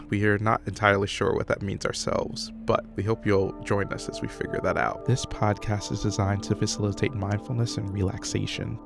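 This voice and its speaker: A man hosting a mindfulness podcast, narrating in a slow, measured, soothing guided-relaxation style. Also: lowish voice, creaky, a little mellow.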